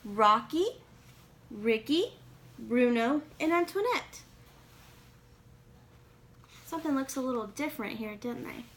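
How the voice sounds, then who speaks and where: A woman's voice: on the high side, expressive, takes it slowly, long pauses, fairly bright tone, slight background noise. A woman reading a children's picture book aloud expressively, with questioning asides to young listeners. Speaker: read-aloud reader.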